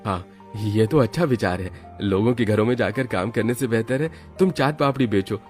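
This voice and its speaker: A man's voice: fairly low pitch, moderate pace, darkish tone, close to an everyday voice. A man playing a humble, careworn working father. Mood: earnest. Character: tired, humble day-labourer father, kind and worried. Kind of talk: cartoon story dialogue. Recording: background music under the voice.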